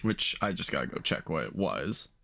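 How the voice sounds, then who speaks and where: A man's voice: a bass voice, big pitch swings, normal speed, vocal fry, sharp tone. A man acting as game master, casually improvising rulings and narration for a tabletop game on an online call. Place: online group call, streamed live.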